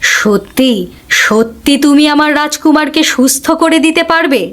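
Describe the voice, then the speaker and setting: A woman's voice: high-pitched, measured pace, fairly bright tone. A woman playing an anguished queen and doting mother in a fairy-tale cartoon, pleading with emotion. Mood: hopeful pleading.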